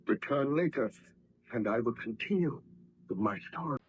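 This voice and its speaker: An actor playing a haunted, fearful baron scientist in formal, melodramatic 1930s radio drama style. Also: male voice, mid-range voice, expressive, moderate pace, background noise, lightly acted. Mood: weary, shaken. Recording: muffled vintage radio recording.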